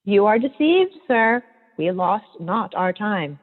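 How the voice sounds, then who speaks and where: A woman playing an eager, lively rustic in a Shakespearean radio play, animated and theatrical. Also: medium pitch, takes it slowly. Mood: cheeky. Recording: muffled phone-like sound, long reverb.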